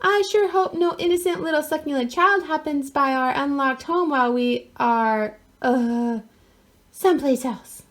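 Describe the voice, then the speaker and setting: A sly mama dinosaur from a picture book, put on by a woman narrator, in a high-pitched voice. She is falsely sweet and arch, hiding her appetite behind innocence. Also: a little slow. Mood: mock innocent.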